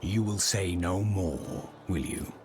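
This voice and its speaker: A man's voice: low voice, slow pace, background noise. An actor playing a stoic, blunt male warrior in a fantasy video game, speaking solemnly and formally. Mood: cold, resolute.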